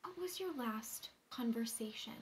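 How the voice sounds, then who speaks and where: Reverby recording w music, highish young woman, intense and needy, stage drama. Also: animated, measured pace. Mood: hushed pressing.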